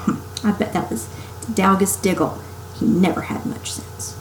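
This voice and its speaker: In an echoey room with faint noise, a very old wizard voiced by a woman reader speaks gently. He is a mild, measured storybook character with quiet amusement. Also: mid-range voice, steady tone, lightly acted. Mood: gentle. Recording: roomy echo, faint background noise.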